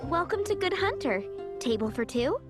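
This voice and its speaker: A woman's voice: extremely high pitch, big pitch swings, normal speed, over loud music. A woman voicing a polite, perky young waitress in an anime game, courteous and chatty. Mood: welcoming.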